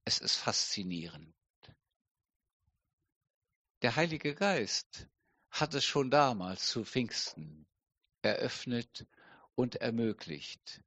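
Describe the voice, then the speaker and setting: A middle-aged man preaching a sermon slowly and deliberately, with emphatic pauses. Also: mid-range voice, sing-song, bright, forceful tone, no echo. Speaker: pastor.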